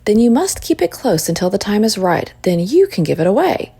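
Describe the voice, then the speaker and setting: Woman doing kindly wise old lady in a storybook, gentle n knowing, slightly husky, lilting. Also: medium pitch, big pitch swings, a little fast, exaggerated voice. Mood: reassuring.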